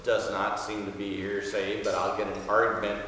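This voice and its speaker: Man, 60s, magistrate making introductions. Lowish voice, halting delivery, heard from a distance in a big reverberant room. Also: flat delivery, bright, forceful tone. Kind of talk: informal welcome speech. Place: wood-paneled courtroom. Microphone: podium mic, standing back from it. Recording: very echoey hall, distant mic, faint noise.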